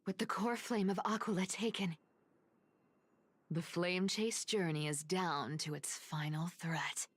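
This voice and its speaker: An actress voicing a sly, playful female assassin in a video game, teasing and coolly menacing in a breathy, theatrical drawl. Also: on the low side, steady tone, unhurried, no echo. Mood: pensive, impressed. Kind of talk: English game voice acting, theatrical monologue.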